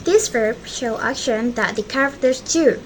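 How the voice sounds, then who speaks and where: A woman's voice: on the high side, unhurried, slight background noise. A young woman reading scripted material as a student storyteller and presenter. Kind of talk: scripted fairy-tale narration and school presentation.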